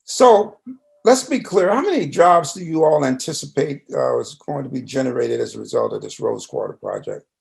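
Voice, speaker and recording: An older man interviewing someone conversationally, with informal, halting phrasing and repeated starts. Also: medium pitch, vocal fry.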